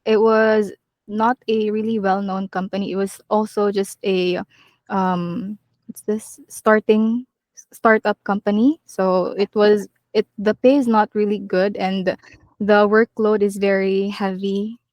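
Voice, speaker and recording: A woman in her twenties, a call center agent being interviewed, answering earnestly with many pauses and ums. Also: mid-range voice, level tone, a little mellow, dry recording. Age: 20s. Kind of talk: casual interview chat.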